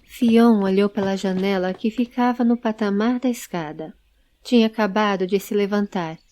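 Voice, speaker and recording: Uma mulher narrando uma história em áudio, lendo um texto roteirizado como contadora de histórias. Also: female voice, mid-range voice, normal speed, mellow, faint music behind. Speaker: storyteller, narrator. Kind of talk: scripted audio story narration.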